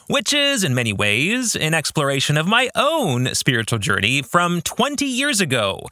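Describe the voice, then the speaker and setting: Bright, animated male podcast host. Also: fairly high pitch, no echo, studio quality. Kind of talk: scripted podcast narration with book-club reflection.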